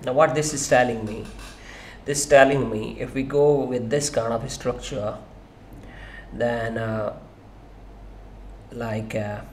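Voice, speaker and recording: A man giving a loose, conversational screen-share tutorial on SEO software, with frequent fillers and restarts. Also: mid-range voice, steady tone, slow pace, long pauses, slight background noise.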